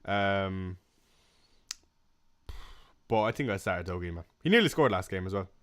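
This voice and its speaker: A man's voice: low voice, expressive, measured pace, clear, resonant tone. Laid-back young Irish man from Waterford. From Ireland. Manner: chatty, laid-back, frequent fillers and soft laughs. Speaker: fantasy football livestream host. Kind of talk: casual live-stream chat reading comments.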